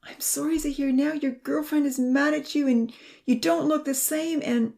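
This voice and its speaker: A woman's voice: high-pitched, fairly even intonation, moderate pace, creaky, mellow, voice pushed up. A woman voicing a sleepy young male patient full of guilt, speaking in a whispery voice. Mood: guilty whisper.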